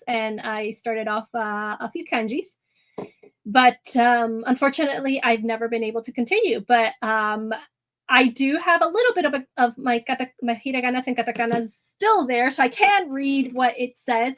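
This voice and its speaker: A woman hosting an art livestream, chatting casually with viewers, rambling with lots of ums and restarts and easy laughs. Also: on the high side. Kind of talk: casual chat with live chat. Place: home room. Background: soft music.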